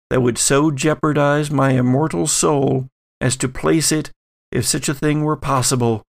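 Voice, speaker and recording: Man acting a gravelly, tormented gothic narrator. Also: mid-range voice. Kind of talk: dramatic gothic recitation.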